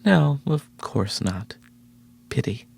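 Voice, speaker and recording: An actor playing a skeptical, sarcastic station director in a science fiction video game, sardonic and clipped. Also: male voice, mid-range voice, sing-song, slow, creaky, darkish tone, husky, exaggerated voice. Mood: dry, disbelieving.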